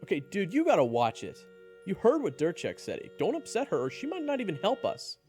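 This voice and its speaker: A man acts an excitable young adventurer narrating a fantasy audio drama. His pitch swings wildly as he turns sarcastic and expressive. The sound is echoey, with clear music underneath. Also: a little fast. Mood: snarky. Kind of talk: first-person narration and audio roleplay acting.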